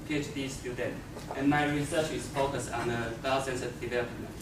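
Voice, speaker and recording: A man's voice: mid-range voice, steady tone, brisk. A young man with a Taiwanese accent introducing himself to a group as a student. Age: young adult. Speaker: PhD student. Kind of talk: self-introduction. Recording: noisy, echoey room, far from mic.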